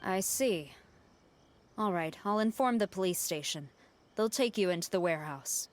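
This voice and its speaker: An actress playing a stern, dutiful military commander in a video game, formal and authoritative. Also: female voice, on the low side, animated, normal speed, close to an everyday voice. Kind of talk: video game character acting.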